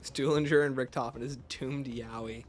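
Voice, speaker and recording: A man's voice: mid-range voice, steady tone, normal speed, faint music behind. A man hosting a livestream, chatting casually as he takes viewer questions and answers them offhand. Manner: reads questions aloud, then answers offhand. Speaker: livestream host.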